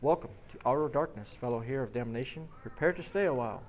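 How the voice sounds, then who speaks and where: A man voicing a cruel, possessive demon who mocks and taunts in a steady storytelling voice. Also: medium pitch, fast, natural voice. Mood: taunting. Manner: mocking, taunting, shrieking. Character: mocking, clinging male demon, cruel and possessive.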